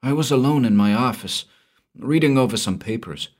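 A man's voice: mid-range voice, moderate pace, darkish tone, voice pushed up, lightly acted. A man voicing a panicked, wealthy middle-aged man who pleads hysterically for help. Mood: shaken.

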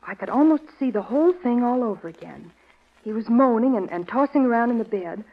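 High-voiced, shaken radio-drama nurse. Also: female voice, expressive, fairly quick. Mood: earnest recalling. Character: shaken, soft-hearted young woman nurse, earnest. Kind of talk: 1940s radio drama acting. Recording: muffled vintage radio recording.

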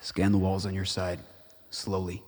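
An actor playing a gruff, sardonic old father in a radio play, speaking tersely and dryly. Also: low-pitched, monotone, unhurried, a little mellow. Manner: terse, dry, needling. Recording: reverberant hall with noisy background.

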